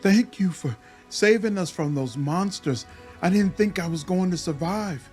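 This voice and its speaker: A man voicing a timid, helpless villager in a fantasy game, meek and high. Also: lively intonation, measured pace, darkish tone, soft background music. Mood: relieved.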